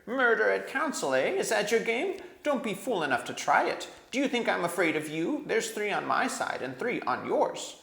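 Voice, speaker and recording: A man's voice: sits high, sing-song, gravelly, echoey room, pitched above the performer's own voice, full character voice. A man voicing a bitter, cunning male dwarf in a storybook reading, speaking with cold, distinct scorn that can flare into anger. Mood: defiant. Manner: slow, distinct, cold, rising to shouting.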